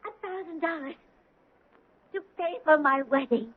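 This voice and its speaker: A woman's voice: high voice, a little slow. An actress playing a young bride-to-be in a 1970s radio drama, dreamy and sweet, with a girlish, earnest manner. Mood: delighted. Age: young adult. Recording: thin lo-fi old radio broadcast.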